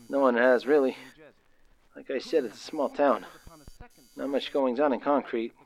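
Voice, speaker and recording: An actor playing a nervous, excitable young working man of the 1930s, stammering and using folksy slang, in a radio drama. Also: medium pitch, measured pace, close to an everyday voice, poor audio. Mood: awkward, hesitant. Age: young adult. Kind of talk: old-time radio drama acting.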